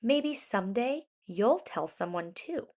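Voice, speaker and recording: A woman reads a children's rhyming story in a fairly high voice. Her pace is very slow and deliberate, and she shapes each phrase dramatically, stressing important words as a storyteller for young listeners would. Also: sing-song, darkish tone. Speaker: children's storybook reader, educator.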